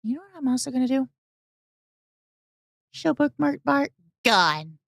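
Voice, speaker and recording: A woman streamer chatting casually with her viewers, her voice hoarse, bubbly and talkative. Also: sits high, very animated, unhurried, a bit bright, dry recording, studio quality. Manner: bubbly, talks to chat, hums tunes. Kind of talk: casual livestream reaction chat.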